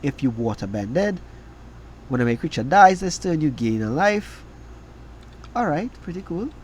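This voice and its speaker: A man with a cold and a raspy voice, reading out trading cards and giving quick verdicts on each. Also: fairly high pitch, big pitch swings, a little hiss or hum. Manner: reads card text then reacts with quick verdicts. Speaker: card game video host.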